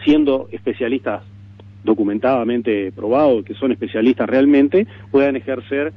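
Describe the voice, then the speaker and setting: A man's voice: mid-range voice, moderate pace, slight background noise. Uruguayan man on tinny narrowband radio. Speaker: political interviewee. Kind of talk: radio interview, explanatory.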